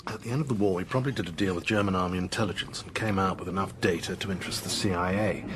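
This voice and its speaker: An actor playing a condescending British intelligence chief in a radio drama, urbane, clipped and patronising. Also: male voice, low-pitched, racing, noisy background, natural voice. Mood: brisk, authoritative. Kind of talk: broadcast radio drama acting.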